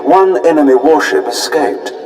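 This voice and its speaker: An actor playing a smug, menacing imperial fleet commander in a video game, pompous and self-satisfied. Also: male voice, fairly high pitch, normal speed, a little mellow, faint music behind, lightly acted. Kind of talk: video game character acting.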